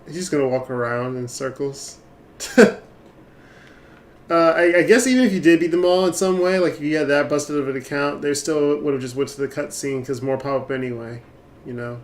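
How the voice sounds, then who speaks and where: A man's voice: on the high side, fairly quick. A man giving casual, informal live commentary as he streams a game, reacting aloud to it. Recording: faint background noise.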